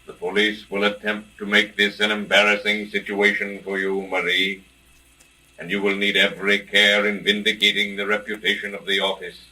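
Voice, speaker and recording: An actor playing a grave ghostly father and unseen boss in an old radio mystery, speaking in a solemn, formal, measured and commanding way. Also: fairly low pitch, completely flat delivery, pushed and bright. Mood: solemn warning. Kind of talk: 1930s radio mystery acting. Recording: distant, noisy vintage radio recording.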